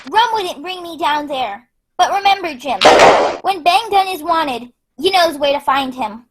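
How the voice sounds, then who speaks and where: A woman playing an excitable, half-mad marooned with a rambling, folksy way of talking. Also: high-pitched, measured pace, heavy background noise. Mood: excitable, wary. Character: Ben Gunn, excitable half-mad marooned old pirate castaway.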